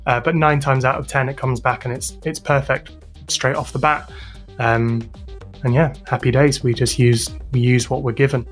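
A man's voice: mid-range voice, steady tone, moderate pace. British man talking over music. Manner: hesitant, thinking aloud, informal. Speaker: interview guest, podcast sound designer and composer. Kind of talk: casual interview answers.

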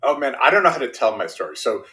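A man's voice: mid-range voice, sing-song, fast, sharp tone. A man in his fifties from Brooklyn, New York, whose first language was Spanish, talking casually as an interview guest and checking in often with "right?". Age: 50s. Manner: self-deprecating, checks in often with tag questions.

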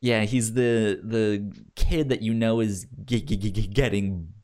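A man's voice: fairly low pitch, steady tone, a little slow, darkish tone. A man co-hosting a casual film discussion, stammering and often repeating words and syllables. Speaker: film podcast co-host.

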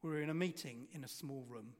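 A man preaching a sermon, telling a story and asking the congregation rhetorical questions, his volume swinging from loud to hushed. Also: mid-range voice, fast. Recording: reverberant hall.

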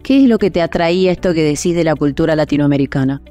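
An Argentine woman hosts an interview, speaking with a clear Argentine accent. She asks curious, probing follow-up questions. Her voice sits low for a woman, with a soft, mellow tone. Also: fast talker, faint music behind. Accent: Argentine Spanish.

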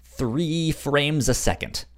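A man's voice: on the high side, slow pace, fairly bright tone. A man chatting casually as the host of a podcast.

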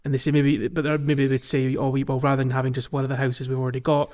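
A man hosting a podcast, chatting casually about a video game and thinking out loud as he goes. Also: mid-range voice, level tone, fast. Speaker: podcast host.

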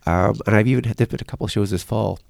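A man's voice: fairly low pitch, racing, creaky, a little mellow, no echo. A man telling anecdotes casually as an interview guest, often correcting himself mid-sentence. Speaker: guest, church concert organizer.

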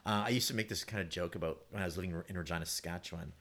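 A man with a low voice talks quickly in a casual panel discussion. He is an animated storyteller who makes his points emphatically and acts out little quotes as he goes. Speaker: playwright guest.

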